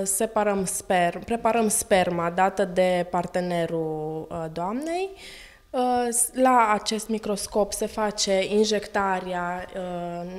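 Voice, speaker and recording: A young woman with a Romanian accent, an embryologist, explaining her lab work in a friendly, matter-of-fact way with frequent pauses. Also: medium pitch, a little mellow. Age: young adult. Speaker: clinic embryologist. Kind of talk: interview answers. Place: fertility lab, indoors. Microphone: handheld reporter mic.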